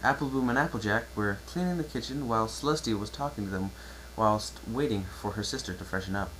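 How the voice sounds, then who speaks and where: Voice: mid-range voice, fast talker, a bit bright, slight background noise, low-quality recording. An amateur narrator giving a theatrical dramatic reading of fan fiction.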